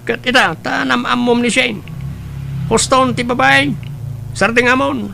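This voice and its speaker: A man's voice: extremely high pitch, fairly even intonation, measured pace, creaky, bright, forceful tone, a little hiss or hum, voice pushed up, exaggerated voice. A man playing a frank buddy who gives advice in a family audio drama. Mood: insistent, warning. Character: frank male buddy giving advice on women. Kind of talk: Ilocano radio-style drama acting.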